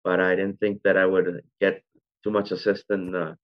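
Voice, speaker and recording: A man's voice: sits low, little pitch movement, normal speed. A man in his forties from Venezuela, a restaurant founder telling his story in an interview, rambling with many ums and self-corrections. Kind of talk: conversational interview. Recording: dull lo-fi sound.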